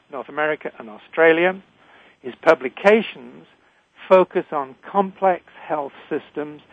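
Older british guy hosting a radio show, formal and deliberate, thin phone sound, dry. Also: on the high side, no echo. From Britain. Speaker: radio show host, retired physician. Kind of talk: scripted radio introduction and interviewing. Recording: narrow, phone-like line.

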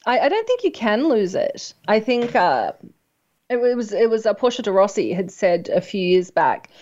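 A woman's voice: mid-range voice, big pitch swings, normal speed, a little mellow, highs cut off. A woman with an Australian accent hosting an internet talk radio show, gushing and eager, sometimes tripping over her words.

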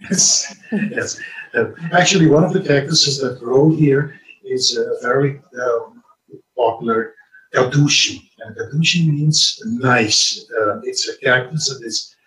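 A man from Curaçao with a Curaçaoan accent, Papiamento his first language, answering interview questions in a measured way with many fillers. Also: medium pitch, a bit bright, roomy sound, recorded from a distance, poor audio. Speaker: wellness retreat organizer.

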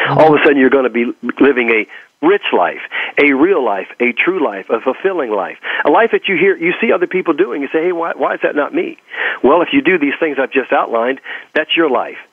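A man's voice: on the high side, lively intonation, brisk, dry recording, narrow, tinny audio. A man with a Texas accent giving motivational talk and personal stories as an interview guest, emphatic and given to repeating phrases for effect. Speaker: motivational speaker and coach being interviewed.

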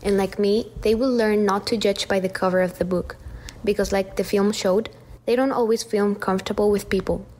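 A woman's voice: medium pitch, fairly even intonation, moderate pace, a little mellow. Earnest young woman. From Mexico. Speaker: student guest. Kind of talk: reading a prepared film response.

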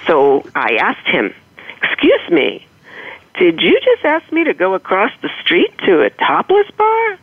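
Boston-accented woman guest, animated anecdote teller, tinny phone-line sound, laughs often. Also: medium pitch, a little slow, slightly rough. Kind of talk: storytelling anecdote on a radio show. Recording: phone-line call-in audio.

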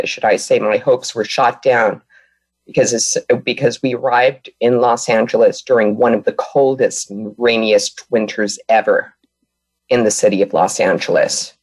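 A woman from Brazil whose first language is Portuguese, speaking as a guest and telling her life story with earnest, reflective pauses. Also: rumbling low, dry recording.

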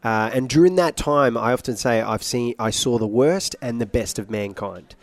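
A young man with a British-sounding accent, telling his story casually and haltingly as a guest, with some joking. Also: medium pitch, no room sound. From Iraq, later Australia. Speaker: interview guest, singer. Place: at a conference.

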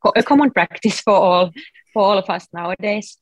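A woman's voice: mid-range voice, a little fast, no room sound, poor audio. A woman from Finland with a Finnish accent, a guest on an interview show, explaining her app in a friendly, chatty way. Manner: explanatory, friendly, uses "like" as filler. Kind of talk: casual interview chat.

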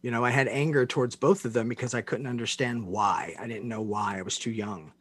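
A man interviewing in a casual, conversational way, halting and often padding his sentences with "you know". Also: medium pitch, fairly bright tone, no echo. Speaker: interviewer, host.

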